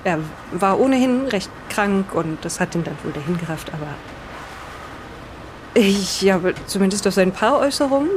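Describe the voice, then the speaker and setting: A woman's voice: medium pitch, close to an everyday voice. Female role, woman acting: lighthouse keeper's wife, weary and guarded, hesitant delivery, audio drama, noisy backdrop. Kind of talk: improvised in-character audio drama retelling. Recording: clearly noisy background, vehicle-like ambience.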